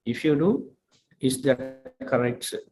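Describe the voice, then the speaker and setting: A man's voice: medium pitch, mellow. A man moderating a webinar, relaying a question in a hesitant way, with lots of fillers. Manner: hesitant, halting with many fillers. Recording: distant voice with faint noise.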